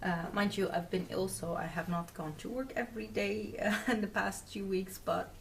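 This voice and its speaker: A Dutch woman in her twenties chats casually to camera in English, her second language, scattering ums and soft giggles. Her voice sits at a middling pitch. She is recorded at home on a mic set a little away from her, with a faint hiss behind. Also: steady tone, normal speed, vocal fry, far from the mic. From Netherlands. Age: 20s. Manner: chatty, many filler words and giggles. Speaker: knitting podcast host. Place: small room at home, indoors.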